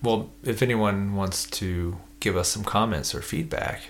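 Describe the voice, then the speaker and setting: A man co-hosting a podcast, chatting casually in a relaxed, self-deprecating way. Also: fairly low pitch, unhurried, a little hiss or hum. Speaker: podcast co-host. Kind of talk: casual chat.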